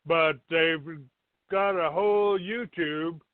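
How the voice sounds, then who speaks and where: A man hosting a talk show, delivering a halting, grumbling monologue with blunt language, partly reading from a written notice. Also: on the high side. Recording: thin phone-like band, roomy echo.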